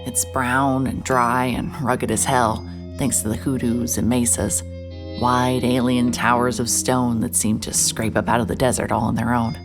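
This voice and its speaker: A woman with a strikingly deep, low voice narrates a noir story in first person, dry and wry. A clear music bed runs under her words. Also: flat delivery, a little slow. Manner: dry, wry, world-weary. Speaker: narrator and lead character, a private investigator.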